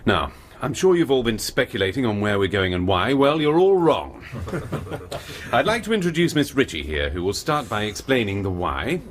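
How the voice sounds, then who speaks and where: An actor playing a shrewd, manipulative British army major in a radio drama, with an English accent and a measured, understated delivery. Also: male voice, mid-range voice, big pitch swings, noisy background, close to an everyday voice. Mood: commanding.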